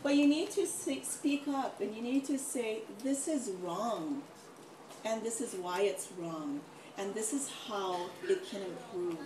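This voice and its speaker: A woman's voice: medium pitch, lively intonation, a little slow. Middle aged lady doctor chatting on a panel, kinda far from the mic, lots of room noise. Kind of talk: informal panel talk with anecdotes. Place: large echoey room, event with audience. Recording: echoey, distant mic, noisy room.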